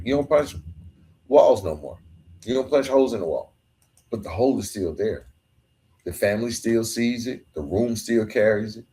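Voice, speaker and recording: Earnest man with a low voice. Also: darkish tone. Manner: earnest, emphatic, colloquial, stumbles over words. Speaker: podcast host. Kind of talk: solo motivational monologue.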